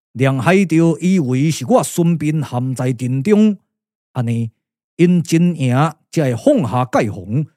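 A man's voice: a little high, mellow, no echo, clean studio sound. A man with a Taiwanese accent playing a composed, commanding strategist and elder brother in a puppet-theatre radio drama, declaiming in a stylised way. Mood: questioning, patient.

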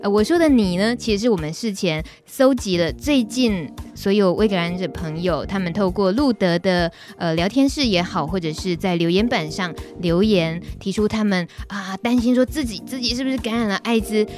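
A woman's voice: mid-range voice, moderate pace, few pauses, darkish tone. Taiwanese lady radio host, mid-range voice, some music under her. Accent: Taiwanese Mandarin. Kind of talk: live web radio hosting.

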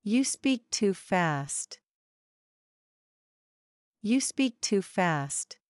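A woman reading English example sentences slowly and evenly for learners to repeat. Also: medium pitch, big pitch swings, dry recording, studio quality. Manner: careful, evenly paced repetition.

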